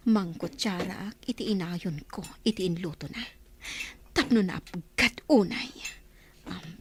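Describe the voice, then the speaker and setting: A woman's voice: mid-range voice, slight background noise, lightly acted. A woman with an Ilocano accent playing a sly, scheming young woman in a radio drama.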